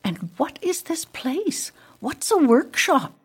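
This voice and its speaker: A woman's voice: medium pitch, big pitch swings, unhurried, slightly rough, voice pushed up. A woman voicing a polite, curious female tree with some pride, in a storybook. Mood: puzzled. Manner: polite, questioning, slightly proud. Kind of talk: storybook character voice.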